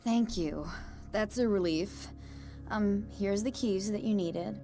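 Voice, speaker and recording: A woman's voice: mid-range voice, creaky, warm tone, soft background music. An actress playing a shaken young woman who talks nervously about something she saw. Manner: nervous, rushed.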